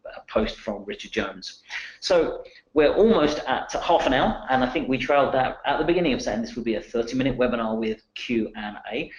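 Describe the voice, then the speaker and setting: A man's voice: mid-range voice, reverberant, dull audio. A man hosting a webinar, talking with many hesitations and fillers. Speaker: webinar host. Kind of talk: webinar presentation.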